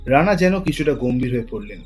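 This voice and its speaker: Guy reading a suspense story as narrator, dramatic, medium pitch, music underneath. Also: lively intonation, fast, darkish tone. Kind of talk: dramatic scripted thriller narration. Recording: voice over music bed.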